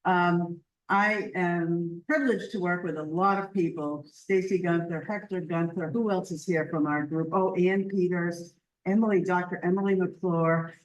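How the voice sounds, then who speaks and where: Loud-voiced woman addressing a meeting. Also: on the low side, fairly even intonation, unhurried, far from the mic, low-quality recording. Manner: loud voice, earnest and chatty with asides. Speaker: community group leader. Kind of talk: public meeting presentation. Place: library meeting room. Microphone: handheld or stand microphone.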